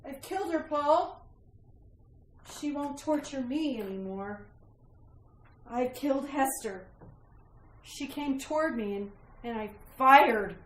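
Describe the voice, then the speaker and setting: A woman playing a frightened, tormented woman in a retro radio thriller, speaking haltingly and dramatically. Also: sits high, lively intonation, fairly bright tone, heavily acted. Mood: dazed, horrified. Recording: echoey room with faint hiss.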